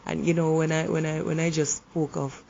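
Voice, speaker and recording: A woman who is hoarse from the flu, speaking in an earnest, encouraging way about relationships and pausing often to restart her sentences. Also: sits low, little pitch movement, darkish tone, muffled recording, a little hiss or hum. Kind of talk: reflective motivational monologue.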